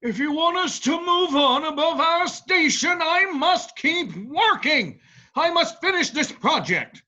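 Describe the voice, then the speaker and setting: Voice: sits high, lively intonation, a little slow, pushed and bright, dull audio, full character voice. A performer playing an ambitious, self-absorbed inventor father in a theatrical staged reading, with an exclamatory, dramatic delivery. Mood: driven.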